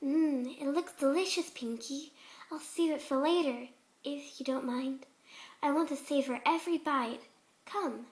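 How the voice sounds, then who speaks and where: A woman voicing a shy, gentle young female pegasus pony, bashful, hesitant and polite, in a cartoon fan story. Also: sits high, unhurried.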